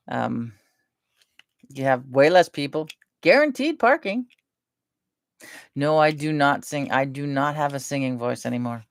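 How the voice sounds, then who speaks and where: A soft-spoken woman chatting casually and rambling between topics on a live stream. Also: very deep voice, creaky, dry recording, studio quality.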